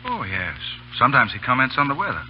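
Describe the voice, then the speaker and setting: A gruff, breezy veteran cavalry officer in a vintage radio play, played by a man. He is sardonic and blunt, with very mobile intonation. The broadcast is muffled, with a faint hum underneath. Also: mid-range voice, big pitch swings, fast talker, close to an everyday voice. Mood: matter-of-fact. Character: gruff, wry, soft-hearted veteran cavalry lieutenant. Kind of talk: old-time radio drama acting.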